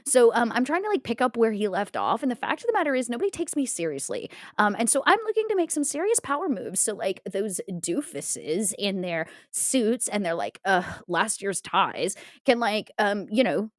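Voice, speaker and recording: A woman's voice: mid-range voice, sing-song, moderate pace, few pauses, no echo, studio quality, natural voice. A woman playing a spoiled, ditzy young crime heiress in a tabletop roleplay, with breezy valley-girl talk full of filler words. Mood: flippant.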